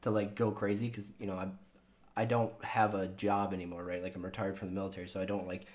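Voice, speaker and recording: A man's voice: low voice, flat delivery, fast talker, darkish tone. A man hosting his own channel in casual chat with viewers, rambling and swearing with lots of fillers, his voice sounding younger than he is. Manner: rambling and profane, with many fillers. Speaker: channel host.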